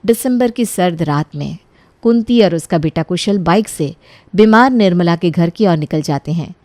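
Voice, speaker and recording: A woman's voice: on the low side, lively intonation, moderate pace, a little mellow, no room sound. A woman reading a scripted dramatic story as its narrator.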